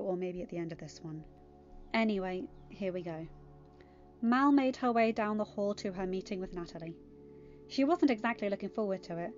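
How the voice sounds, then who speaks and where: A woman narrating a story as an amateur audiobook reader. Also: medium pitch. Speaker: fan fiction reader. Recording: dull lo-fi audio, music behind. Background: music.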